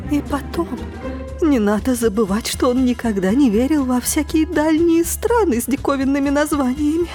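A woman playing a high-voiced, fretful aunt. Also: moderate pace, dark tone, lightly acted. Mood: worried, fretful. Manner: fretful, hesitant, sighing. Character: anxious, long-suffering middle-aged aunt. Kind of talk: audio drama acting. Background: music.

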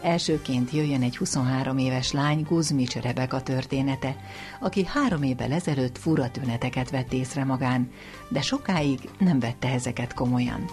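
Female presenter, low-voiced, scripted science radio narration over faint music. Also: a little mellow.

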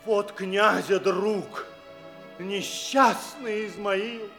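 An actor playing a prince's anxious, devoted tutor in a radio play, speaking in an agitated, pleading, emphatic manner. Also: male voice, high-pitched, steady tone, drawn-out words, bright, forceful tone, soft background music, exaggerated voice. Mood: concerned. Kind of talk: theatrical radio play dialogue.

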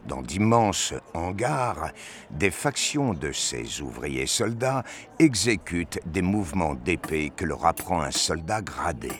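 A man's voice: low voice, very animated, slow pace, slight background noise. A man reading scripted narration for a science fiction audio drama.

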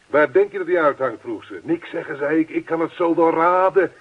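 An actor playing a jovial, pushy middle-aged neighbour in a radio play, hearty, chummy and insistent. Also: male voice, a little high, fairly quick, husky. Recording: dull lo-fi radio play recording.

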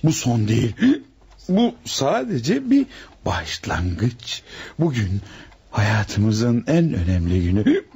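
Radio drama, male: tipsy scheming lover, low gravelly voice, swooping intonation. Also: huge pitch swings, takes it slowly, a little mellow, exaggerated voice. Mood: pleading, impassioned. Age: adult, not old. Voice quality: husky, gravelly. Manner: slurred, impassioned, chuckling drunkenly. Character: drunk, besotted, scheming male lover of married woman. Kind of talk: radio play acting.

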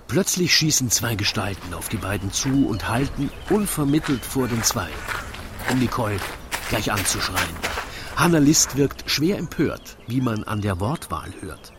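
A man's voice: medium pitch, expressive, unhurried, noisy background, close to an everyday voice. A man narrating a rhymed radio drama in a wry, dramatic way.

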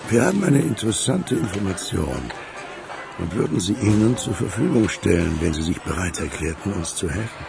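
A man acting in a German radio crime drama as a courteous, measured older businessman with a crooked past, calmly persuasive. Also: low voice, vocal fry, over music, heavily acted. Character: suave ageing provincial magnate, ex-crook turned capitalist, calmly persuasive.

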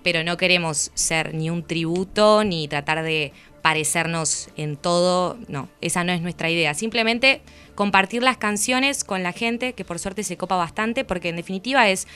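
Bright-voiced Argentine woman chatting in an interview. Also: fairly low pitch, moderate pace, clear, resonant tone. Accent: Rioplatense Argentine. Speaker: musician, interview guest. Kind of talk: casual interview chat.

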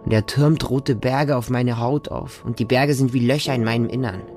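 A man's voice: mid-range voice, fairly even intonation, natural voice. Man as wounded little boy narrator, music behind. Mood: anguished. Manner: deliberate, repetitive, childlike simple phrasing. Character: wounded, innocent little boy recalling his childhood. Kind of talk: radio drama monologue, storytelling.

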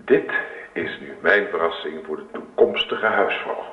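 An actor playing an eager young Dutch husband in a radio play, stubborn, dreamy and tender. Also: male voice, sits low, unhurried. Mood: eager, proud. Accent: Netherlands Dutch. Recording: roomy, tinny phone-like radio recording.

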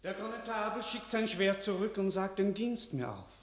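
Desperate king, radio play, declaimed verse, slightly high voice. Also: lively intonation, moderate pace. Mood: dismissive. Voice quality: declamatory verse delivery, swinging from weary to impassioned. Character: beleaguered young king, weak and desperate. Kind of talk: 1950s-style German radio drama acting.

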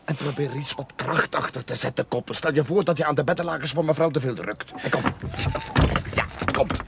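An actor playing a bossy, irritable grave robber in a radio play, barking sarcastic orders and cursing. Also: male voice, a little high, fairly quick, creaky, very noisy. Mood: irritated. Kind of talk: Dutch-language radio play acting.

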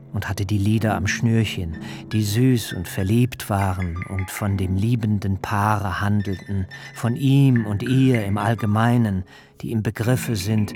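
An actor playing Jakob, a young bridegroom, telling of his wedding night in a measured, hushed, intimate radio-drama reading. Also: lowish voice. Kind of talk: literary radio drama, first-person narration.

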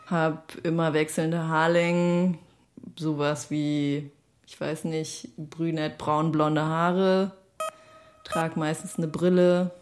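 A woman's voice: low-pitched, a little mellow, a little hiss or hum. A woman in her thirties, a support assistant at a school, talking casually and searching for words. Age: 30s. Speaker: participation assistant. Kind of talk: casual self-description.

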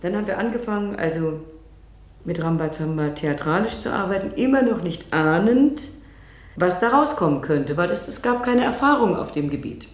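Female theatre director, interview; lowish voice, articulate and reflective, roomy echo. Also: lively intonation, moderate pace. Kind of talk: interview, recounting her work.

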